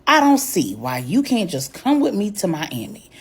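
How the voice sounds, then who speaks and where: Woman playing gangster woman, story dialogue, confident, bossy, slangy, husky. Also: mid-range voice, wildly swooping pitch, moderate pace, heavily acted. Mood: coaxing. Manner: slangy, persuasive.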